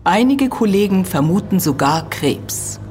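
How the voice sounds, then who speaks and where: An actress playing an earnest, critical university lecturer in an audio drama, explaining precisely and emphatically. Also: female voice, mid-range voice, very animated, a little slow, a little mellow, a little hiss or hum. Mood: earnest, emphatic. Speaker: expert being interviewed.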